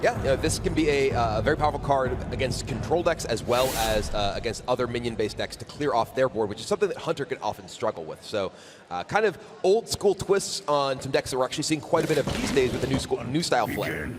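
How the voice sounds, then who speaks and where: A man co-casting a live card game tournament, explaining decks in a measured, analytical way. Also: mid-range voice, expressive, noisy background. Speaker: esports co-caster.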